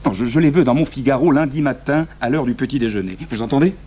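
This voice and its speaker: A man's voice: medium pitch, fast talker, dark tone, lightly acted. Pompous, imperious magistrate played by a man. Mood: commanding, irritated. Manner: curt, pompous, clipped and insistent. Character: imperious male examining magistrate, impatient and condescending. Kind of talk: French radio drama acting. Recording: hummy, noisy old radio broadcast.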